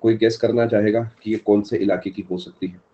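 Male online tutor, very low voice, a bit hoarse from a sore throat, dull streamed sound. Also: fairly even intonation, a little fast, dark tone. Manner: answers live chat by name, mixes English terms. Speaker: teacher. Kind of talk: live online exam-prep lecture.

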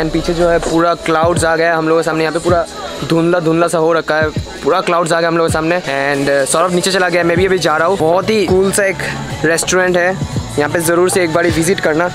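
A young man from India narrating a casual travel vlog, excitable and full of exclamations, mixing English words into his Hindi. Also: fairly high pitch. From Silchar, India. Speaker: travel vlogger.

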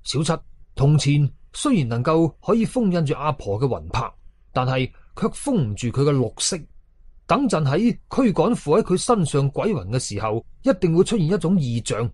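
A man's voice: a little high, big pitch swings, moderate pace, darkish tone, husky, no echo. A man with a Hong Kong Cantonese accent voicing a stern, learned Taoist exorcist in a horror audio story, instructive and commanding. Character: stern, learned male exorcist, senior Taoist apprentice brother.

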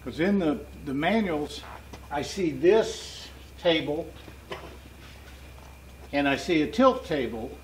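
An older man chatting casually and haltingly as he works, thinking aloud. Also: a little high, animated. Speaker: machine restorer and channel host. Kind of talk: casual chat while working. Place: open shop doorway beside a trailer. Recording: noisy workshop recording.

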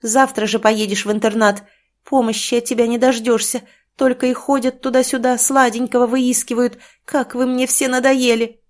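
Lady doing a frantic mom yelling at her kid, high and loud, wailing. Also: steady tone, normal speed, few pauses, lightly acted. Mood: angry. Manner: shrill scolding, wailing. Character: frantic, furious village mother.

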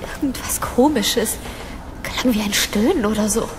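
A woman's voice: a little high, measured pace, background noise, close to an everyday voice. A young actress playing a sceptical, sarcastic young mother in naturalistic audio drama dialogue. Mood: uneasy. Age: young adult. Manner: dry, teasing sarcasm. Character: sceptical, sarcastic young mother apartment-hunting with her boyfriend. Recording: studio audio drama with music underneath.

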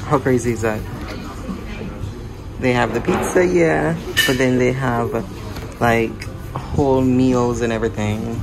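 A woman's voice: rumbling low, unhurried, long pauses, rough recording. A woman vlogging casually to camera, chatty and upbeat, calling her viewers "you guys" as she walks the streets and sits in small cafes. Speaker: travel vlogger. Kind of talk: casual vlog chat to camera. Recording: loud background music over the voice. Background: loud music.

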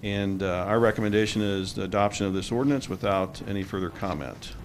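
Low-voiced police chief reading an ordinance summary with faint background hum. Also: male voice, fast. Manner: reads an official summary steadily. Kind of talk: formal report to council. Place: council chamber. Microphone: table microphone.

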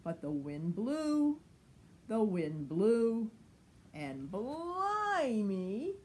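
A woman's voice: on the high side, sing-song, drawn-out words, a little mellow, slight background noise. A woman in her sixties reading a children's picture book expressively, lingering dramatically on words. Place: sunny room at home by large window.

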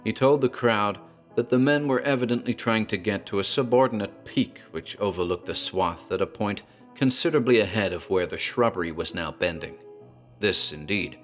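Deep-voiced man narrating horror. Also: moderate pace, faint music behind.

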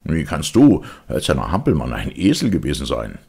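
Man acting callous village fellow, storybook, short-tempered, brusque, lively pitch, husky. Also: brisk, full character voice. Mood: indignant. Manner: brusque, scolding, haggling.

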